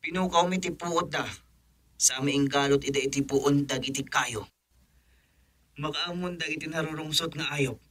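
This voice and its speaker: A man's voice: on the high side, normal speed, long pauses, fairly bright tone. An actor playing a gloating villainous henchman in a radio drama, with an Ilocano accent. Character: gloating villainous henchman reporting to his boss.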